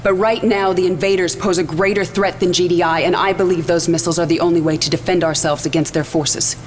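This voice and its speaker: A woman's voice: lowish voice, steady tone, fast talker, a little hiss or hum, lightly acted. An actress playing a determined, earnest female military leader in a video game cutscene, speaking in an urgent, confiding way. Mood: urgent, resolute. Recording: music behind the voice.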